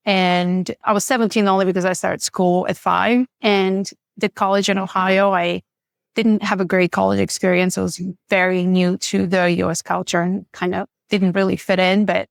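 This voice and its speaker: A woman's voice: medium pitch, gravelly, dry recording, clean studio sound. A woman, speaking as a podcast guest in a frank, matter-of-fact way. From Bulgaria. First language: Bulgarian. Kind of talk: casual interview chat.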